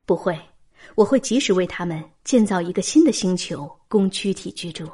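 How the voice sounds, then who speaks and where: A woman's voice: on the low side, expressive, normal speed, mellow, husky, far from the mic. A woman playing a vast, all-knowing and impassive cosmic supercomputer in a science fiction audio drama, with a hazy voice.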